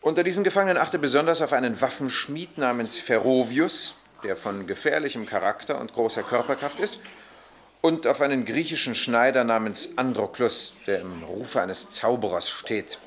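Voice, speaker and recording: An actor in a radio drama playing a stern Roman army captain who makes formal, clipped, coolly ironic pronouncements. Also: male voice, mid-range voice, animated, moderate pace, fairly bright tone.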